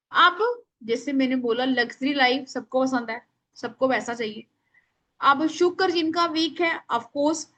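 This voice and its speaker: Female online teacher. High-pitched, forceful and loud, informal but instructive, with emphatic delivery and English words mixed in. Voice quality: loud, strong voice. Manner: instructive, emphatic, addresses listeners by name; mixes English words into speech. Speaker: astrology remedies teacher. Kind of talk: live online class, informal explaining.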